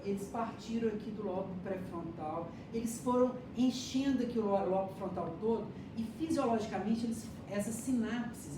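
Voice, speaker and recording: A woman giving a classroom lecture, explaining step by step and checking that her students follow. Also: mid-range voice. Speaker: lecturer teaching hypnosis and neuroscience. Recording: echoey room, distant mic, audible noise.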